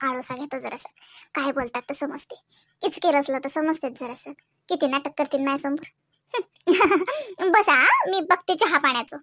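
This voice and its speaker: A woman's voice: sits high, a bit bright, dry recording. A woman voicing a teasing, nosy young sister-in-law in a comedy cartoon, mock-sweet and prying, with a Vidarbha Marathi accent. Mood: suspicious muttering.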